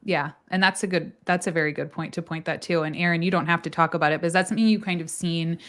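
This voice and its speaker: A woman who is a charge nurse, hosting a casual panel chat with fellow nurses, animated and quick to laugh. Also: lowish voice. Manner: animated, laughs often, fillers.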